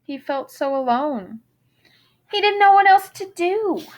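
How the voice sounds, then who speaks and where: A woman's voice: very high-pitched, animated, measured pace, slight background noise. A woman reading a children's picture book aloud in a theatrical manner, savoring the rhymes and wordplay with dramatic emphasis. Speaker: storybook reader.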